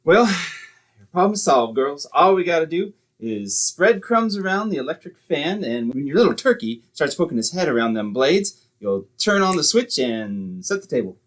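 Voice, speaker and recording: A man playing a husky, slangy small-time schemer. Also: a little high, very animated, moderate pace, fairly bright tone. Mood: cocksure, scheming. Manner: wisecracking, streetwise, ungrammatical slang. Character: slangy, cowardly small-time schemer boyfriend, breezy and cocksure. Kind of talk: old-time radio situation comedy acting.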